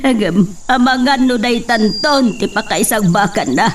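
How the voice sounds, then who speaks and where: A woman's voice: on the high side, measured pace, distant mic, a little hiss or hum, lightly acted. A woman with an Ilocano accent playing an anxious, tearful village mother in a radio drama. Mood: tearful worry.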